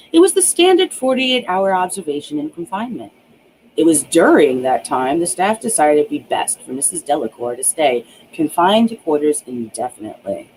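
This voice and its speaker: Expressive woman reading with lively, swooping pitch. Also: slight background noise, low-quality recording. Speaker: author reading their own book aloud. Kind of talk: dramatic audiobook narration.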